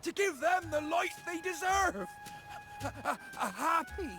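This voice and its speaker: A man's voice: very high-pitched, very animated, measured pace, vocal fry, bright, forceful tone, slight background noise, full character voice. A man voicing a cowardly, greedy pirate captain in a video game, theatrical and wheedling, stammering as he bargains. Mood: pleading, oily.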